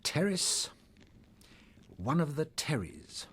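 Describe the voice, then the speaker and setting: Earnest doctor sidekick in a radio play, kind and sober, pitch moves around a lot. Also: male voice, sing-song, fairly bright tone, slightly rough, full character voice. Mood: sober, regretful. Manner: measured, earnest, regretful. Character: earnest, humane male doctor, the detective's sober companion. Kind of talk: radio drama acting.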